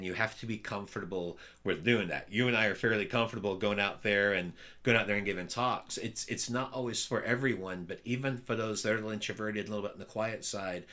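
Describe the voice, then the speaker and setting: An energetic man hosts a podcast interview and talks with a loud, upbeat delivery. His voice sits a bit low but sounds bright and forceful. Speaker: podcast host. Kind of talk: casual interview chat.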